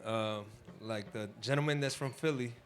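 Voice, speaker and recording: A man's voice: medium pitch, normal speed. A man from New Jersey speaking up from the audience at a community panel, loose and rambling, swearing freely. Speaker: audience member. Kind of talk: casual speech at a community panel.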